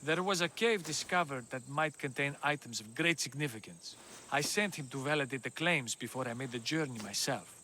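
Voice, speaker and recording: An actor playing a courteous, anxious scholar who talks earnestly in a video game. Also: male voice, medium pitch, a little fast, bright, forceful tone, slight background noise, natural voice. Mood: worried. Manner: polite, earnest, worried.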